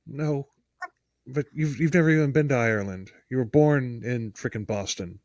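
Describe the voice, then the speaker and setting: A man's voice: mid-range voice, normal speed, a little mellow, no echo. A man from the United States co-hosting a podcast, talking casually in a rambling, emphatic way that often restarts sentences. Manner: rambling, self-interrupting, emphatic. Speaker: podcast co-host. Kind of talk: casual podcast chat and true-crime storytelling.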